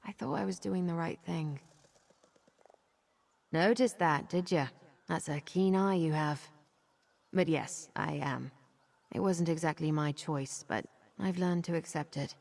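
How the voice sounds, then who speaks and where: A creaky-voiced, guilt-ridden vampire. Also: female voice, low voice, steady tone, a little slow, pauses often, darkish tone, full character voice. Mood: guarded, resigned. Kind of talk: video game character dialogue.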